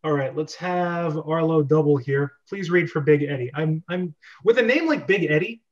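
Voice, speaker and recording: A man's voice: on the high side, moderate pace. A man casually hosting and moderating a group script reading over a video call. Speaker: host of a script reading club. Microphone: video call on computer. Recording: dull lo-fi video call audio.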